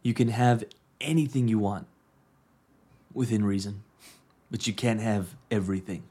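A man in his thirties talks through a coaching podcast episode in a low-pitched voice. He speaks earnestly and hammers points home by repeating phrases. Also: moderate pace, dark tone, husky. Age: 30s. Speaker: podcast host and business coach. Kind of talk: casual reflective monologue.

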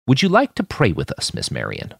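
A man's voice: medium pitch, wildly swooping pitch, brisk, creaky, slightly rough, no echo, studio quality, voice pushed up, exaggerated voice. A man voicing a pious, bookish younger smuggler in an audiobook, haggard and formal like a preacher. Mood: solemn praying.

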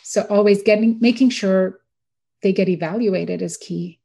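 A woman's voice: mid-range voice, moderate pace, vocal fry, dark tone, breathy edge. A woman from India, a dentist guest explaining things in a casual interview with quoted examples.

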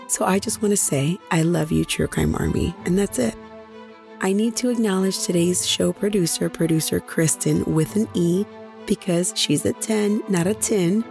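A woman hosting a true crime podcast, hoarse after nearly losing her voice, chatting directly with her listeners. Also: lowish voice, normal speed, darkish tone, faint music behind. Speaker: podcast host.

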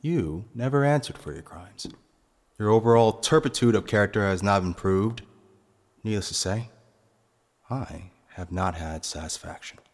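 Low voice, cold vengeful nobleman acted by a man, deliberate menace, eloquent, fantasy audio drama, cavernous reverb. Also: lively intonation. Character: vengeful, menacing nobleman posing as castle phantom. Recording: long hall reverb.

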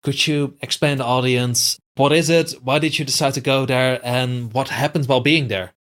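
A man's voice: medium pitch, steady tone, moderate pace, a bit bright. A man hosting a podcast, reacting to his guest and asking questions, with frequent fillers. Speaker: podcast host. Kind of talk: interviewing a guest.

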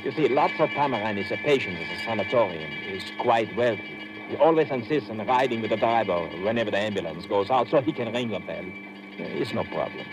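A man's voice: lowish voice, expressive, brisk, lightly acted. Guy playing a nervy doctor in old radio play, hesitant, voice goes up and down a lot, bit noisy. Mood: explaining. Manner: hesitant, ends phrases with questioning "eh". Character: anxious conspiratorial Munich sanatorium doctor, male. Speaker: supporting actor. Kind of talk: 1950s radio drama acting.

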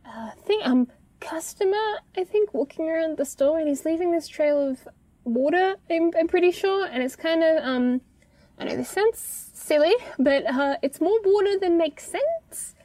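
A woman's voice: sits high, darkish tone, no echo. A woman playing a nervous supermarket night-shift worker in an audio drama, rambling hesitantly and apologetically. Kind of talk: naturalistic audio drama monologue.

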